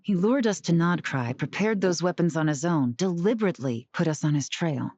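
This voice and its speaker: Calm female investigator, low pitch, thoughtful, deliberate, anime game, slightly muffled. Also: no room sound. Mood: composed. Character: composed, analytical adult female investigator. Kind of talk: anime video game voice acting. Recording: muffled game audio under music.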